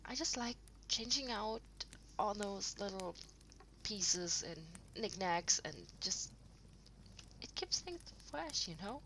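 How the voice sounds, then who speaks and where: A woman playing an affectionate partner in an intimate audio roleplay, rambling softly and hesitantly to the listener at home. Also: mid-range voice, animated, slight background noise, rough recording. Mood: gently sheepish. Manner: soft, breathy, hesitant rambling with trailing pauses.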